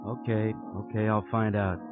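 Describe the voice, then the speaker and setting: Man playing a deadpan cop sidekick, muffled radio. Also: on the low side, normal speed, music in the background, lightly acted. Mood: matter-of-fact. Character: dry, wisecracking male police sidekick, plain and dutiful. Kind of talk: 1950s radio crime drama acting. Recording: muffled old radio recording.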